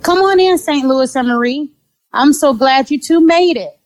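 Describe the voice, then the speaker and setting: An actress playing a sweetly sociable, scheming neighbour in an audio drama. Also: female voice, high-pitched, normal speed, no echo, soft background music, natural voice. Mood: sweetly hospitable. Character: scheming, sugary-sweet pregnant woman neighbour and friend.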